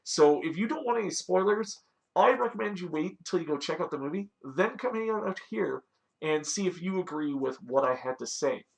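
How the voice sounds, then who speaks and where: A man's voice: high voice, lively intonation, brisk, gravelly, low-quality recording. A man talking casually as the host of a review show, recapping in a conversational, informal way. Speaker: host of a video game movie review show.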